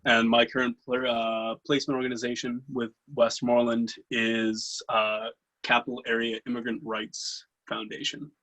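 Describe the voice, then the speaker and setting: A man's voice: medium pitch, monotone, moderate pace, a bit bright, rough recording. A young man in his twenties from Pennsylvania, a volunteer introducing himself steadily with a small stumble. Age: 20s. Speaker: service corps volunteer.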